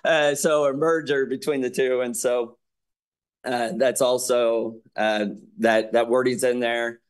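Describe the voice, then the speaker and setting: A man reporting on an athletics contract at a school board meeting, speaking haltingly with many fillers. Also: medium pitch, fairly even intonation.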